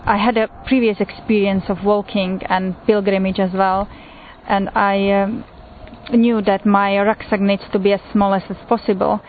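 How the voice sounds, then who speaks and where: A woman with a Slovak accent recounts a personal testimony, pausing often and filling gaps with "uh". Her voice stays level and flat in pitch. The recording is thin and phone-like, with a faint hiss underneath. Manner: unhurried, with frequent pauses and fillers. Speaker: pilgrim sharing testimony.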